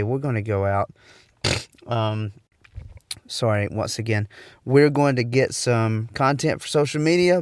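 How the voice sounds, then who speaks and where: A man's voice: on the low side, clear, resonant tone, no echo, studio quality. A young man with a stuffy, slightly nasal voice, hosting a casual solo podcast and rambling with many ums and restarts. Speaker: podcast host.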